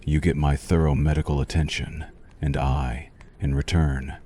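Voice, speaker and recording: Male actor as vampire doctor for ASMR: extremely low, mellow voice, formal, possessive, softly menacing. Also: flat delivery, normal speed. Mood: hungry, coaxing. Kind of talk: ASMR roleplay, scripted monologue to the listener.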